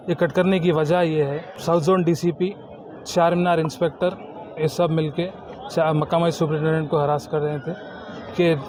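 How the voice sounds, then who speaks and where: Higher-voiced man, noisy outdoor recording. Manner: indignant, insistent, repeats phrases. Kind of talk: spoken protest statement to camera.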